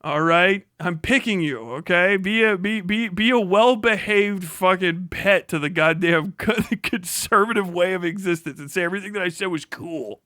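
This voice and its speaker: A man's voice: high-pitched, normal speed, few pauses, bright, forceful tone, no room sound, clean studio sound. A man live streaming profane political commentary, mocking and sarcastic, swearing heavily in a combative way. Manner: heavy swearing. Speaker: live streamer reacting to a video.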